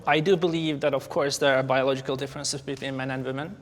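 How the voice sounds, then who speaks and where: A man's voice: mid-range voice, steady tone, fast, in a large hall, a little hiss or hum. A man in his thirties, a doctoral student, arguing his side of a debate in an assured, lecturing way. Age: 30s. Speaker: PhD student, debate participant. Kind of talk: casual debate.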